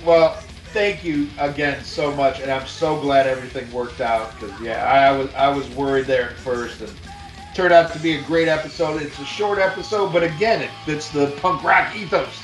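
Host, male, slightly high voice, echoey room, faint music under, loose and profane. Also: moderate pace. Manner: laughing, swearing, upbeat. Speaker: podcast host. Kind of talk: casual podcast chat.

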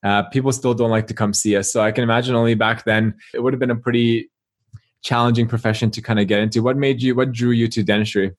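A man's voice: medium pitch, little pitch movement, fast talker. Friendly young male podcast host. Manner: friendly, with frequent fillers in the interview. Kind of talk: scripted intro then casual interview. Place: Australia.

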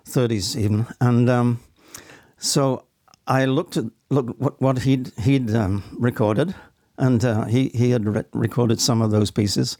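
A man in middle age talks in a conversational interview, feeling his way through each thought with hesitation. His voice sits at a middle pitch and is recorded very dry and close. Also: darkish tone, dry recording. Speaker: stage actor, podcast guest.

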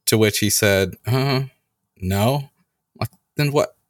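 A man hosting a casual conversation, telling animated stories and acting out the lines people said. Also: lowish voice, slow, dry recording, clean studio sound. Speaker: podcast host.

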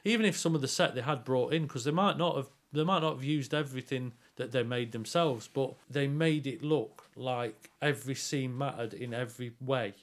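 A man's voice: medium pitch, moderate pace, few pauses. A man co-hosting a podcast, giving a casual review in a rambling, explanatory way and often repeating himself. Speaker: podcast co-host reviewing theatre.

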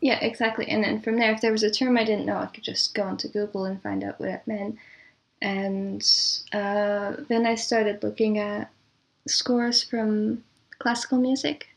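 A woman answering as a composer guest in a casual, chatty interview, quick to laugh. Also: medium pitch, level tone, vocal fry.

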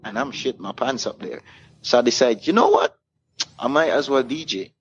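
A man's voice: mid-range voice, animated, moderate pace. A man, a DJ guest telling casual anecdotes in an interview and acting out the dialogue. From Caribbean. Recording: muffled, clearly noisy room recording.

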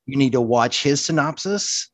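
A man with a medium-pitched voice in casual podcast conversation. He is insistent and tends to repeat himself, and the sound is close and very dry. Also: expressive, moderate pace, fairly bright tone, no echo. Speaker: podcast co-host. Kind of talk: casual chat.

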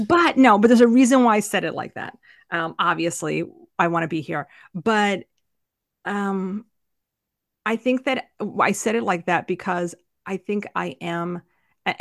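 A woman's voice: medium pitch, a little slow, pauses often, dry recording, clean studio sound. A woman co-hosting a podcast, chatting casually with playful jokes. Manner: joking, playful. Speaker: podcast co-host.

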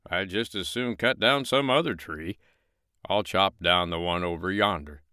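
A man voicing a simple, easygoing peasant woodchopper in a storybook reading, close to his own low, smooth voice. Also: moderate pace, pushed and bright, dry recording. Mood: mild.

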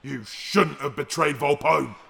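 Bitter underworld dealer, creaky, bright and forceful, distrustful, audio drama villainy. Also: male voice, a little high, unhurried, exaggerated voice. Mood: bitter, hostile. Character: bitter, wary male underworld arms dealer, betrayed.

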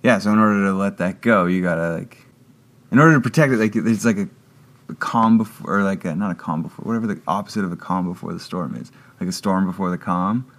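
A man in casual conversation as an interview guest, talking haltingly with many restarts and fillers. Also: low-pitched.

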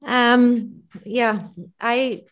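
A woman's voice: on the high side, steady tone. Hesitant woman with a Swiss accent. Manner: hesitant, many pauses and fillers, soft laughs. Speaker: caller. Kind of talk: personal testimony on a call-in.

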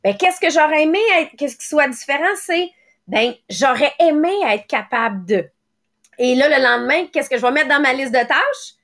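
A woman in her late thirties with a Quebec French accent, hosting a motivational morning podcast in a quick, conversational way. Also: high voice, expressive, a bit bright. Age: late 30s. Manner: quick, conversational, acts out little quoted thoughts. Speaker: podcast host.

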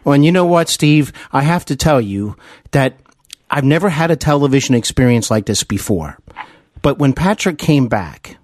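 An earnest male podcast host conducts an interview with an admiring, effusive manner. His pitch moves in lively swoops, and the recording is dry with little room sound. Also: moderate pace, no room sound. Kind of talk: celebrity interview.

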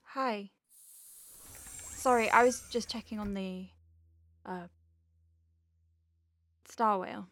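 A woman's voice: mid-range voice, slow pace, long pauses, a bit bright. An actress playing an anxious, wry woman medic piloting a tiny craft in a sci-fi audio drama, hesitant under pressure. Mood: subdued, awkward.

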